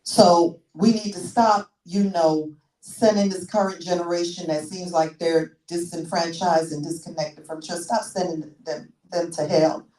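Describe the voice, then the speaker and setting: A woman's voice: fairly low pitch, fairly even intonation, a little slow, dark tone. A woman in her sixties preaching a sermon as pastor, teaching with frequent restarts and repeated words. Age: 60s. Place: church sanctuary. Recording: echoey church room, mic far off.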